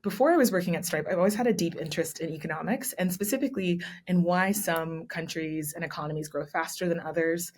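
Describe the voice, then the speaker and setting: Articulate woman explaining with low tones. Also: fast, few pauses, darkish tone. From born in Nigeria, raised in Texas. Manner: articulate, explanatory, with frequent "um" and "right?" asides. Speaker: guest, commissioning editor. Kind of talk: conversational interview answers.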